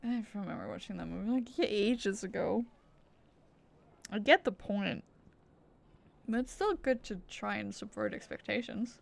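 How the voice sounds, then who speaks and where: A woman's voice: medium pitch, expressive, normal speed, vocal fry, pauses often. A woman streamer giving casual live gameplay commentary in a playful, rambling way. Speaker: game streamer. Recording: game music behind the voice.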